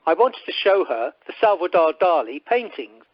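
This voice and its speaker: Lively, lowish voice, woman as charming suitor. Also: normal speed, no echo. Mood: smooth, reassuring. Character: charming, forward male suitor. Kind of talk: amateur radio play acting. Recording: muffled telephone conference line.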